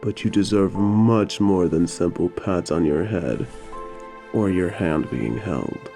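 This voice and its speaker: Man playing a low, mellow, gentle village tanner. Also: slow, vocal fry, faint music behind, exaggerated voice. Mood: soothing, affectionate. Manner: tender, soothing, old-fashioned phrasing. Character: gentle, apologetic old-time male leather tanner, doting caretaker. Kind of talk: romantic audio drama acting.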